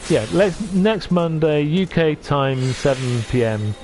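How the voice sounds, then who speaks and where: A man from North Wales streaming from his sofa at home. He chats gently with his viewers and often stops and restarts. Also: fairly high pitch, expressive, slow pace, soft background music. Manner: gentle, hesitant, with many restarts. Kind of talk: casual chat reading out viewer comments.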